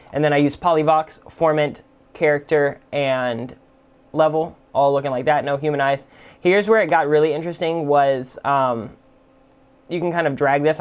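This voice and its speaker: Man with slightly high voice, casual tutorial. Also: clear, resonant tone. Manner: informal, explanatory, full of "like" and "kind of". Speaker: music production tutorial host. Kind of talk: casual, unscripted software tutorial.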